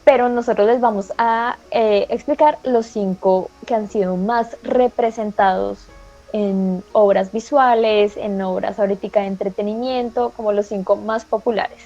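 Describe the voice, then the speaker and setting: A woman's voice: mid-range voice, steady tone, a little slow, mellow, faint music behind. A woman from Colombia, co-hosting a talk show, explaining with enthusiastic emphasis. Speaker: co-host. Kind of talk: casual panel chat. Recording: dull lo-fi sound.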